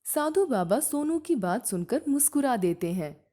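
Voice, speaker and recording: A woman narrating a scripted moral story in a deliberate, simple storytelling manner. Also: on the high side, big pitch swings, dark tone.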